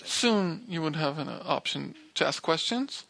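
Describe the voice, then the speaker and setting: Creaky, bright-voiced man teaching. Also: a little high, expressive, normal speed. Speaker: spiritual teacher. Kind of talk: spoken teaching to a group.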